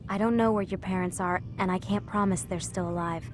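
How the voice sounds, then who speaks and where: An actress playing a sympathetic young woman, gentle and earnest, in a naturalistic video game cutscene. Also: medium pitch, steady tone, a little fast, background noise, natural voice. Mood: apologetic. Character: sympathetic, reassuring young female ally.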